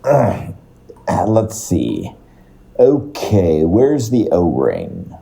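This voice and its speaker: An actor playing an earnest, gentle plumber who rambles and hesitates, in naturalistic audio drama dialogue. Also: male voice, low voice, wildly swooping pitch, gravelly, full character voice. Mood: mild, awkward. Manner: hesitant, halting, digressive.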